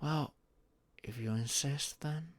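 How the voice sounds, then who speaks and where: A man acting a shy, halting, slow-spoken young guy. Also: on the high side, no room sound. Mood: uneasy, halting. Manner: halting, sighing, breathy murmurs rising to tearful outbursts. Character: shy, anxious young man confiding in his closest friend. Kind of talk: intimate audio roleplay acting.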